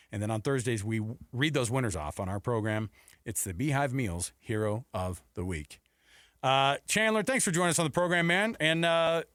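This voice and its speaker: Casual American sports radio host, close dry sound. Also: male voice, medium pitch, fairly bright tone, no echo.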